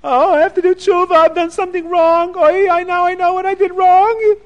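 A man voicing a proud rich man turned desperate prisoner, wailing and pleading in a high, loud voice. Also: level tone, moderate pace, clear, resonant tone, lo-fi sound, close to an everyday voice. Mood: wailing remorse.

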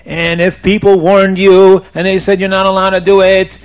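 A man's voice: high-pitched, little pitch movement, normal speed, lightly acted, poor audio. A man voicing a stern, harsh rabbi in a religious tale, scolding emphatically and demanding penance. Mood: grave scolding. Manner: scolding, emphatic, relentless rhetorical questions. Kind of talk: oral religious storytelling, character voice.

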